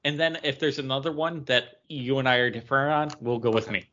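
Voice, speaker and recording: A man's voice: medium pitch, fairly quick, a bit bright, highs cut off, slight background noise. A man co-hosting a podcast, bantering casually as a quiz contestant.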